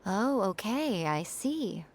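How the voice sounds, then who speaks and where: A woman's voice: medium pitch, big pitch swings, slow pace, exaggerated voice. A woman playing a teasing, haughty shrine priestess spirit in an anime video game, arch and wry with drawling condescension. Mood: exasperated.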